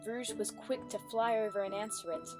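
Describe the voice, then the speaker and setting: A woman's voice: fairly high pitch, brisk, over music. A woman reading scripted story narration as the narrator of a dramatic reading.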